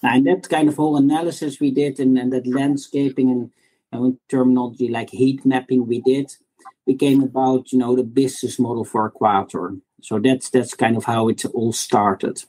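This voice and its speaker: A man's voice: mid-range voice, steady tone, moderate pace, warm tone, rough recording. A middle-aged man from the Netherlands with a Dutch accent, answering conversationally as an interview guest. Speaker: startup executive, interview guest.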